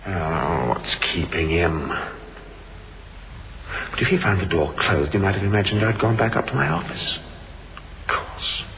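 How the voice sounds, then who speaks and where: An actor with a British accent playing a grumbling trapped man in a radio drama, muttering fussily and haltingly to himself. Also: low-pitched, animated, gravelly, exaggerated voice. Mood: uneasy, muttering. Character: grumbling man trapped alone in a cold store. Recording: muffled, noisy old radio drama recording.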